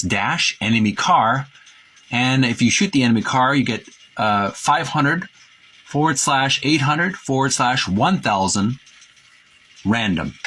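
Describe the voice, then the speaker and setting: A man presenting a book by reading its printed text aloud with commentary, in a halting, deliberate, itemizing way with pauses. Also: medium pitch, pushed and bright, breathy edge. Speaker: presenter of a retro video game book.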